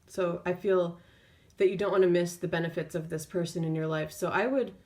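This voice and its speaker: A woman tarot reader speaking off the cuff in an intuitive reading, feeling her way through phrases with drawn-out pauses. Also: on the low side, fairly even intonation, warm tone.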